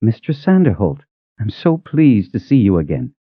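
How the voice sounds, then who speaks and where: A weary, determined young noblewoman, as a man reads her with a lightly lifted voice, calm and firm. The audio is thin and narrow like a phone line. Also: on the low side, normal speed, breathy edge, no room sound, full character voice. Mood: warm. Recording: narrow tinny phone-like sound.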